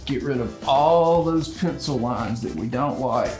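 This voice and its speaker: A man's voice: medium pitch, measured pace, roomy sound, music in the background. A laid-back man casually thinks aloud while giving a drawing tutorial, musing as he works. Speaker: illustrator giving a drawing demonstration.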